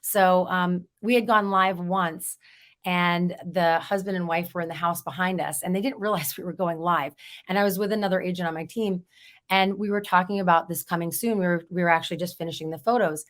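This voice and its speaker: Hoarse woman, lowish voice, chatty storyteller, casual interview guest. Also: fairly even intonation, fairly quick, fairly bright tone. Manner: chatty, storytelling, laughs easily. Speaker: real estate agent, podcast guest.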